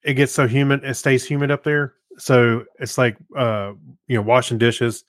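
A man's voice: medium pitch, flat delivery, moderate pace, no room sound, studio quality. An American man co-hosting a podcast, chatting casually in an easygoing, rambling way. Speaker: podcast co-host. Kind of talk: casual chat.

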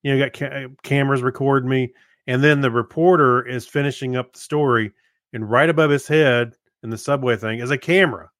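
A man co-hosting a podcast in casual chat, talking in a halting, repetitive, folksy and joking way. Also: medium pitch, fairly even intonation, a bit bright, dry recording, studio quality. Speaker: podcast co-host.

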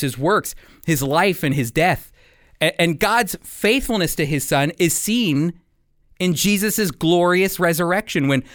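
An American man hosting a radio Bible program, teaching in an earnest, emphatic sermon style with deliberate phrasing. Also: fairly high pitch, a bit bright, no echo, studio quality. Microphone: close studio microphone.

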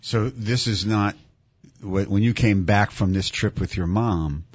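A man's voice: fairly low pitch, measured pace, husky, no room sound, lo-fi sound. A male interviewer asking probing questions in a conversational way, thinking aloud with repeated false starts.

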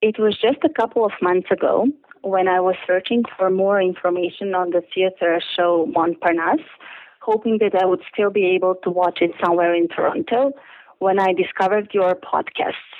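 A woman's voice: fairly low pitch, steady tone, normal speed. Woman on a tinny phone line. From Serbia. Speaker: listener reading her email. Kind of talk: reading a letter aloud.

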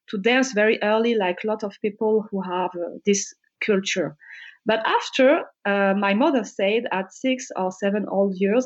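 A woman, a dancer telling her story in casual, filler-heavy speech. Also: medium pitch. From France, Moroccan family. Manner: chatty, many fillers and restarts. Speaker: dancer, podcast guest. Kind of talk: casual interview. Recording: dull lo-fi sound.

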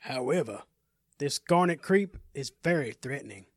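A man's voice: mid-range voice, animated, moderate pace, no echo, studio quality, lightly acted. Man acting a restrained, curt henchman. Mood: dutiful. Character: smooth-suited male henchman, obedient, curt.

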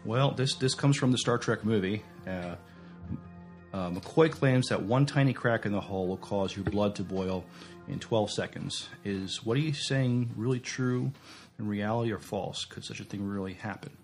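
A man with a slightly low voice co-hosts a casual podcast. His delivery is laid-back and dryly funny. There is faint music in the background. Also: moderate pace. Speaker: podcast co-host.

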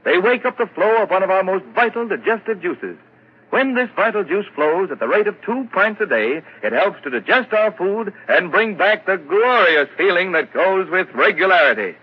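A man announcing on a 1940s radio show, delivering a hearty, persuasive sales pitch. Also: lively intonation, moderate pace, husky. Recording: muffled old radio broadcast.

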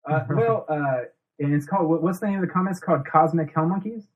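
Laughing, swearing young podcast host. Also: male voice, on the high side, fast, phone-quality sound. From Ohio, USA. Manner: loose, laughing, swears freely. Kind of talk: casual podcast chat and interview.

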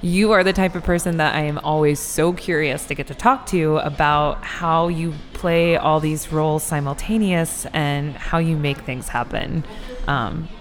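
A woman's voice: deep voice, steady tone, a little slow, soft background music. A woman interviewer, chatty and a bit rambling, who often adds likes and laughs at herself. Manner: chatty, rambling, self-deprecating, lots of likes. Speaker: podcast interviewer. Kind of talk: casual interview.

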